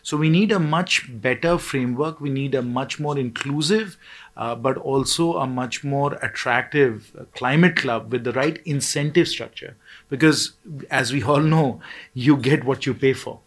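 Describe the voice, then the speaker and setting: A man's voice: medium pitch, moderate pace. A man speaking as a panelist in a discussion, formal and policy-minded, with frequent hesitation fillers.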